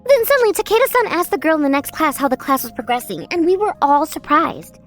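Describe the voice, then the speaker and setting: A woman narrating as an exasperated schoolgirl in a manga dub, lively and a bit sarcastic. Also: high voice, fast, soft background music. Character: exasperated schoolgirl narrating her class's story.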